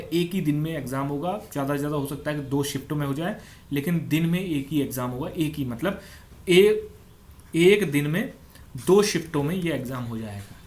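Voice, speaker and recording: A man giving an informal explainer as an exam coach, conversational and repeating points for emphasis. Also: on the high side. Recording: noticeable background noise.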